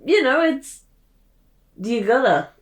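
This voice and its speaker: A woman's voice: sits high, expressive, slow pace, reverberant. A woman who voice acts, co-hosting a commentary in a casual, chatty style. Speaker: voice actor and commentary co-host.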